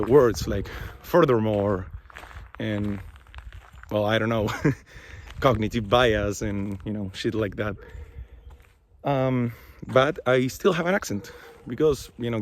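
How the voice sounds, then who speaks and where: A man's voice: fairly low pitch, measured pace, a little hiss or hum. A young man from Chile with a Chilean Spanish accent, talking casually in English to his vlog audience and leaning on fillers like "like" and "you know". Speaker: vlogger. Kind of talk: casual talking-head monologue. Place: outdoors.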